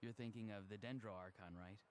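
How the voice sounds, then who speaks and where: A man's voice: fairly low pitch, steady tone, fairly quick, slight background noise, lightly acted. A man playing a thoughtful, reflective young man in a video game, polite in his way of talking. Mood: thoughtful, earnest. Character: thoughtful, soft-spoken young man raised by village elders. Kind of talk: video game character acting.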